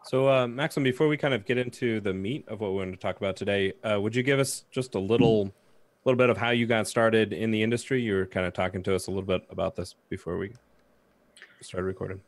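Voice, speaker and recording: A man's voice: on the low side, fast talker. A man co-hosting a podcast, asking the guest questions in a loose, hedging way with fillers. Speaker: podcast co-host. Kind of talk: casual interview questions.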